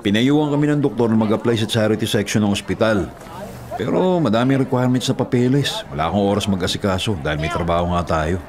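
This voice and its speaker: Actor as a low-voiced, burdened eldest son. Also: male voice, fast, crowd noise, lightly acted. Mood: worried. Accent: Filipino. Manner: hesitant, earnest, gentle. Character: burdened, devoted eldest son and delivery rider. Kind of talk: Filipino radio drama acting. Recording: loud music bed behind voice.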